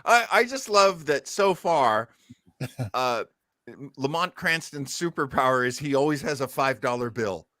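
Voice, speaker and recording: A jokey man gives casual comic commentary in a dry recording. His voice is slightly high and bright, with animated intonation, as a show co-host. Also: measured pace, dry recording.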